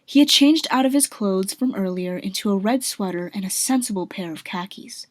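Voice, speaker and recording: A woman's voice: mid-range voice, expressive, normal speed, no echo. Woman narrator, dry close sound. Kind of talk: scripted storytelling narration.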